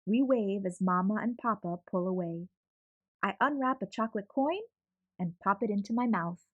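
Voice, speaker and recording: A young adult woman reading a children's picture book aloud in an expressive, animated way. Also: mid-range voice, moderate pace, dry recording, narrow, tinny audio. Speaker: storyteller.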